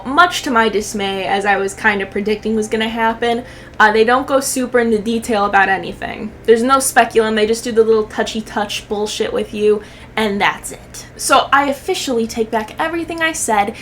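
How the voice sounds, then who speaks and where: High-pitched, sarcastic young woman. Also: slight background noise. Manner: sarcastic, profane, expressive. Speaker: host of a video commentary channel. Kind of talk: casual comedic reaction commentary.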